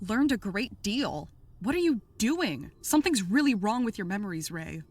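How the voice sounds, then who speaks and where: A woman's voice: medium pitch, sing-song, normal speed, dry recording. A woman playing a worried, exasperated podcast producer in her thirties in an audio drama, earnest and pleading. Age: 30s.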